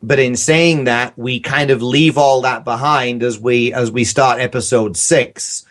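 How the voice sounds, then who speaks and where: A man with a medium-pitched voice talks casually on a podcast. He rambles through his stories, hesitating and circling back as he goes. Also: steady tone, a little slow, fairly bright tone. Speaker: podcast guest, audio drama creator.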